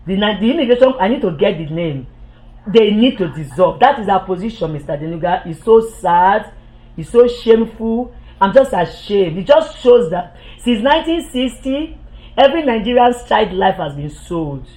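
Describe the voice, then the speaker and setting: Woman, political commentator, heated, accusatory, repetitive, halting restarts. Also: mid-range voice, lively intonation, warm tone, rough recording. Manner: halting restarts when agitated. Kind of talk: impassioned political monologue.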